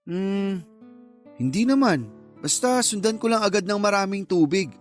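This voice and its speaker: High-voiced flirty male suitor. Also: expressive, normal speed, soft background music. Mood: playful, flirting. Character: flirtatious, flattering suitor chatting up a taken woman. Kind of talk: radio drama dialogue.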